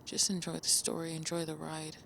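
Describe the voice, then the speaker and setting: A woman's voice: sits low, monotone, brisk, vocal fry. A woman playing a cocky, sarcastic supervillain with a grudging code of honour in a radio drama. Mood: wry, matter-of-fact. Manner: dry, sarcastic, self-assured. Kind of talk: first-person radio drama monologue and dialogue.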